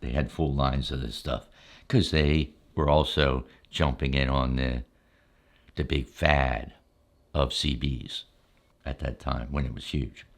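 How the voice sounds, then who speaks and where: An older man reminiscing in a relaxed, unscripted ASMR style, unhurried and halting, often pausing mid-sentence. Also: a bass voice. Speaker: ASMR storyteller, channel host.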